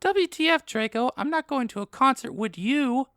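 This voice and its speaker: Woman as a bright, dramatic goth teenage girl. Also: high voice, animated, normal speed, no echo, clean studio sound. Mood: indignant.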